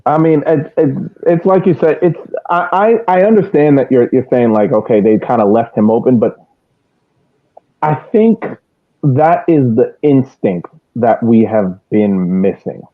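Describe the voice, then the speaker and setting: A man's voice: medium pitch, unhurried, phone-quality sound. A man giving casual, emphatic match analysis as a podcast host, circling back to repeat his points, through thin band-limited audio.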